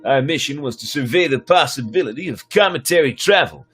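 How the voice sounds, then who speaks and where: A man's voice: mid-range voice, expressive, fairly quick, fairly bright tone, husky, faint music behind. A man voicing a solemn space captain, declaiming a dramatic sci-fi story. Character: solemn male space captain narrating his crew's mission.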